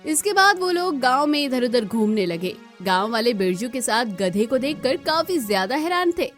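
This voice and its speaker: A woman's voice: fairly high pitch, sing-song, moderate pace, faint music behind. A woman reading scripted narration for a cartoon moral story.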